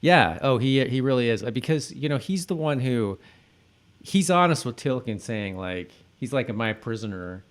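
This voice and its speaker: A man co-hosting a podcast in casual chat, halting, often repeating words and trailing off. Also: medium pitch, expressive. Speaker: podcast co-host.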